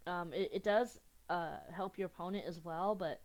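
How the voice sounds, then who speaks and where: A woman's voice: lowish voice, normal speed. Sniffly young woman commenting on a card game. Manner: chatty, giggly, restarts often. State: sniffly with a cold. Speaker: gaming youtuber.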